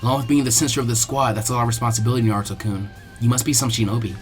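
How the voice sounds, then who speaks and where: A young masked ninja woman, voiced by a man reading a story, speaks softly and formally with calm resolve. The pitch drops well under the narration, and music plays behind. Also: lightly acted. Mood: calm appraisal. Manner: soft-spoken, measured, formal.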